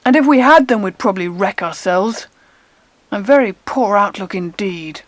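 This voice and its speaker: A woman's voice: medium pitch, expressive, normal speed, no echo, lightly acted. A woman playing a frank, sensible, adventurous boy in an audiobook drama, blunt in manner, with a British accent. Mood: resigned.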